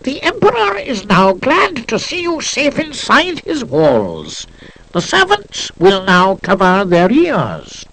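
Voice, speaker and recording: A man voicing a squeaky, pompous imperial herald making slow ceremonial proclamations in a story, on an old cassette. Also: huge pitch swings, creaky, fairly bright tone, exaggerated voice. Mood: grandly ceremonial. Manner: slow, swooping, declamatory. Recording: low-quality transfer from old audiocassette.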